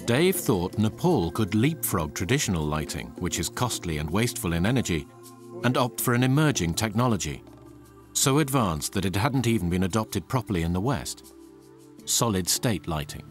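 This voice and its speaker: A man with a low voice reads scripted documentary narration in a measured, lively way. His pitch rises and falls a lot. Clear music plays underneath him.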